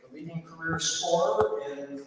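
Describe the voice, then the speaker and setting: A man's voice: a little high, unhurried. A man making formal award-ceremony announcements over a public address system in a large gymnasium. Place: large indoor school gymnasium. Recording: echoey gym PA, distant, faint noise.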